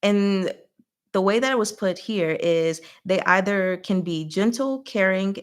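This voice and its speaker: A woman's voice: medium pitch, measured pace, clear, resonant tone. A woman with a mid-range voice hosts a casual recap and discussion. She talks in a chatty, conversational manner, addressing listeners directly with frank asides as she goes. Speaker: host of a book recap livestream.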